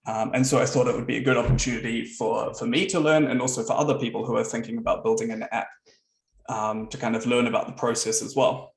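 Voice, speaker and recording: Gravelly New Zealand man presenting. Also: mid-range voice, fast talker, roomy sound. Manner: relaxed, conversational storytelling. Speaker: guest speaker, software founder. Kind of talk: online meetup presentation.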